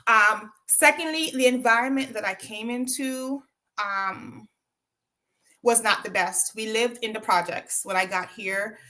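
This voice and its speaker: A woman's voice: on the high side, measured pace, sharp tone. A woman from Jamaica with a Jamaican accent, telling her own story as an interview guest, reflective, with frequent ums and tag questions.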